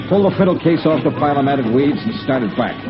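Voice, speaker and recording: A tough, dogged American private investigator from a vintage radio noir, acted by a man. His clipped, hard-boiled delivery swings widely in pitch. The old broadcast is muffled, with loud music behind him. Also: animated, quick pace, close to an everyday voice. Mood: grim, determined. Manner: clipped, hard-boiled first-person narration. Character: tough, dogged male freelance insurance investigator. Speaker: lead actor and narrator. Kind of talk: 1950s radio noir detective acting.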